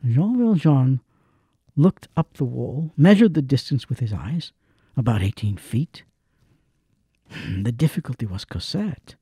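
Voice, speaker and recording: An actor narrating a classic tale for radio drama, dramatic and suspenseful, with measured pauses and a husky voice. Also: male voice, a little high, mellow, dry recording, studio quality, heavily acted.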